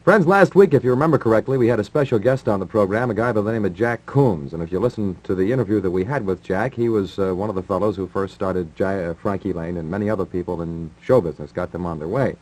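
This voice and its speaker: Lowish guy djing on fifties radio, rambly and wry, casual chat. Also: fast, hardly any pauses, a little mellow, poor audio. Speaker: radio disc jockey.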